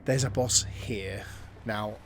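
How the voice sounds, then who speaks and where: A man's voice: lowish voice, takes it slowly, background noise. Man thinking aloud over gameplay. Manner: musing, thinks aloud, drops to near-whispers at times. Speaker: gameplay commentator. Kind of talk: casual let's-play commentary.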